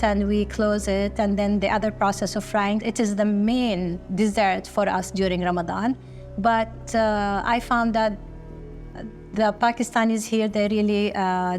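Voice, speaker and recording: A middle-aged woman from Kuwait, speaking slowly and earnestly with short pauses in a documentary interview. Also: mid-range voice, darkish tone. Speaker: business founder.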